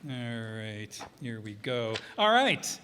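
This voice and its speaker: A man's voice: mid-range voice, very animated, slow pace. A man acting as master of ceremonies, introducing a cast in a live curtain-call announcement. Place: live performance hall. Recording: echoey hall with noticeable noise.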